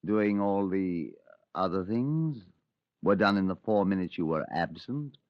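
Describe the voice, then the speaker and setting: An actor playing a firm, suspicious police inspector who speaks deliberately, on a dull old radio recording. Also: male voice, low voice, lively intonation. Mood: stern. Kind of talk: 1950s radio drama.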